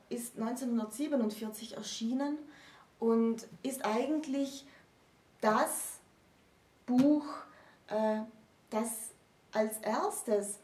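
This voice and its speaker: Woman, 30s, co-host lecturing informally; relaxed dark tone, deliberate pauses, mic some way off. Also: on the high side. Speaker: co-host explaining literature. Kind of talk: unscripted explanatory lecture. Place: small book-lined room indoors. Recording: roomy, distant microphone.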